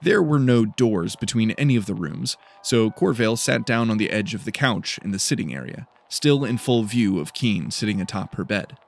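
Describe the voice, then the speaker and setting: A man's voice: on the low side, animated, normal speed, gravelly, hardly any pauses. A man reading scripted fiction as a dramatic audiobook narrator. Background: faint music.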